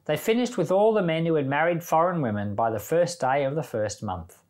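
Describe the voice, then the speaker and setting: A man with a fairly high voice reads scripture aloud for a podcast. His delivery is measured and deliberate, yet very animated in pitch, with phrases often falling away at the end. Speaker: pastor reading scripture aloud on a Bible podcast. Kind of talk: scripture reading.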